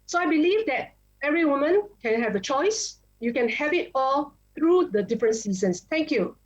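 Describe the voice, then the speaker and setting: A woman's voice: high-pitched, very animated, normal speed, lo-fi sound. Upbeat woman giving closing tips. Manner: upbeat, list-like delivery. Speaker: panelist. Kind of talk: motivational closing remarks. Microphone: video call.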